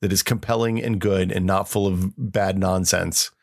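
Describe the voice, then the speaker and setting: Middle-aged American man, lowish pitch, dry sound, informal podcast chat with slangy phrasing. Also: fairly even intonation, normal speed, no echo. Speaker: podcast co-host. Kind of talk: casual tech nostalgia chat.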